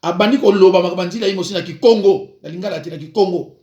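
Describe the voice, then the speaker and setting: A man, a pastor, giving an informal preaching talk, moving between calm advice and lively storytelling. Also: sits high, fast talker, roomy sound, lo-fi sound.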